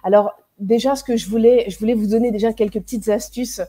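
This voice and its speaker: A woman's voice: fairly high pitch, fairly even intonation, fast, mellow. A woman in her thirties giving parenting advice in a live stream, hesitant, with many fillers and restarts. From France. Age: 30s. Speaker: parenting coach.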